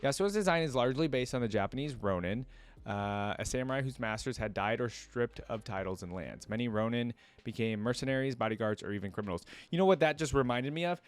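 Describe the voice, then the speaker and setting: A man's voice: mid-range voice, lively intonation, brisk, smooth. A man hosting a reaction video, thinking aloud and talking to viewers in an informal, slangy, chatty way.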